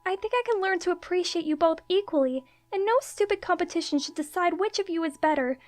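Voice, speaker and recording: A woman's voice: very high-pitched, quick pace. A woman voicing an exasperated, battered female unicorn in a cartoon-style fan audio drama, weary but earnest. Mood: earnest, conciliatory.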